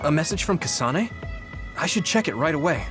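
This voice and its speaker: Earnest, animated young soldier. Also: male voice, a little high, brisk. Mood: polite, curious. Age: young adult. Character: earnest, polite, easily flustered young male soldier. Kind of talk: video game cutscene acting. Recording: music behind the voice.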